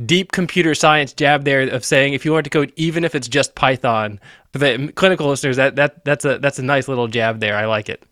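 A man's voice: medium pitch, fairly quick, a bit bright, no echo, studio quality. A man hosting an interview podcast, asking long, framed questions in a friendly, teasing way. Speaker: podcast host. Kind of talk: conversational interviewing.

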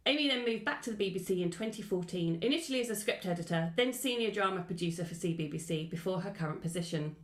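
A woman's voice: lowish voice, animated, fast talker, hardly any pauses, reverberant. A woman hosting an interview podcast. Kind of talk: scripted podcast introduction, then conversational interview.

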